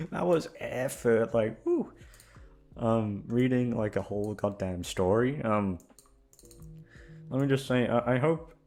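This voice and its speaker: A young adult man who works as an ASMR voice actor, talking in an unhurried, informal way. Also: mid-range voice, very animated, darkish tone, soft background music. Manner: self-deprecating, halting. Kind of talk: casual, rambling chat to listeners.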